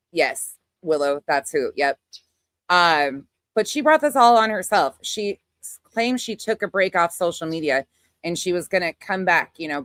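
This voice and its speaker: Woman, lowish voice, blunt, sarcastic, combative, sweary casual commentary, dry recording. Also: dry recording. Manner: scornful, combative. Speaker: commentator reacting to another person's clip.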